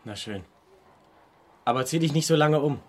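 An actor playing a stern, sullen young sailor and protective brother, speaking brusquely in a radio play. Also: male voice, on the high side, lively intonation, moderate pace. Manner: brusque, grumbling. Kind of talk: German radio play acting.

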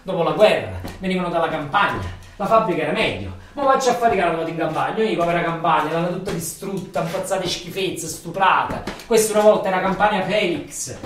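A man's voice: high voice, animated, fast, few pauses, fairly bright tone. Man acting a weary Neapolitan factory worker, echoey recording. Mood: empty, tired, then bitter. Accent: southern Italian, Neapolitan. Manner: incantatory, repetitive phrasing. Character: weary, embittered southern Italian male factory worker. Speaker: stage actor in a monologue. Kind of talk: theatrical radio monologue with dialect. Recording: echoey room, far from mic, noisy.

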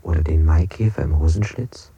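An actor playing a brooding interrogated man who recounts his ordeal in a literary radio play, with measured, deliberate recitation and a husky, gravelly voice. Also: very deep voice, dark tone, highs cut off, heavily acted. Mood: insistent recollection.

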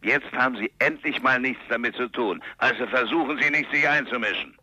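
An actor playing an irritable, busy police official in a crime radio play, sarcastic and brusque. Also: male voice, mid-range voice, fairly quick, pushed and bright, breathy edge. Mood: sarcastic, annoyed. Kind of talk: crime radio drama dialogue.

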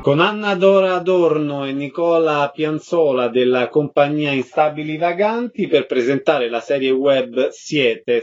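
Male radio host and interviewer, fairly high-pitched voice, mildly lo-fi broadcast sound.

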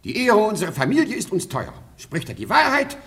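Radio play: stilted, snobbish older nobleman, somewhat high in pitch, very animated, self-important. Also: brisk, heavily acted. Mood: haughty. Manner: pompous, stilted. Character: pompous, snobbish older nobleman, father-in-law. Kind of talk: theatrical radio play acting.

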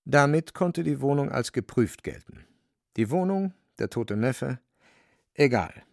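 A man's voice: mid-range voice, lively intonation, unhurried, vocal fry, darkish tone, no echo, studio quality. A man narrating a radio play in a wry, dramatic literary storytelling manner.

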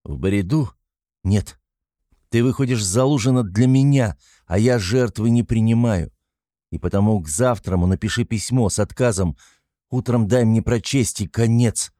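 A man's voice: fairly low pitch, normal speed, warm tone, no room sound, clean studio sound, pitched above the performer's own voice, close to an everyday voice. A man voicing a feverish, irritable young man in an audiobook, a proud and domineering brother who speaks in a strained, curt, imperious way. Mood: insistent, defiant.

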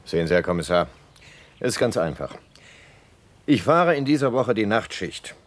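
A talkative, punctual bus conductor in a radio crime play. He speaks low with very varied pitch, emphatic and sure of every detail. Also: big pitch swings, normal speed. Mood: certain, precise. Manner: precise, emphatic.

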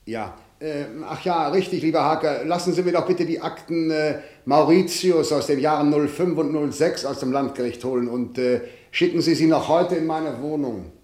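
An actor playing a cold, authoritarian middle-aged prosecutor and father in a German radio drama, formal, sardonic and husky. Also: a little high, normal speed, few pauses, darkish tone, close to an everyday voice. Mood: distracted, official.

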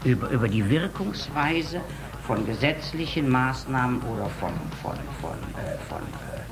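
A man speaking as an interviewed official, very halting and bureaucratic, stammering and repeating words. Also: mid-range voice, animated, noisy background.

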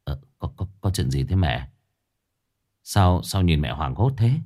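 A man's voice: deep voice, lively intonation, measured pace, warm tone, pitched below the performer's own voice. A man voicing an exhausted, sickly young man who mumbles weakly. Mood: startled. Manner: weak, mumbling, stammering.

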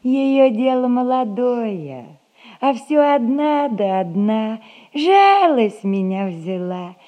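An actress playing a soft-hearted, fussing old servant nanny in a stage comedy, with sighing, homely folk speech. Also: female voice, a little high, expressive, slow. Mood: guilty, pleading. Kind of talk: Russian stage comedy recorded as radio play.

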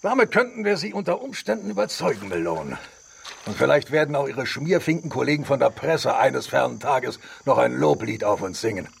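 An actor playing a blustering, sardonic professor-explorer in a radio drama, with a gravelly, husky voice and mocking, declamatory delivery. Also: male voice, mid-range voice, lively intonation, brisk, heavily acted. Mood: scornful, triumphant.

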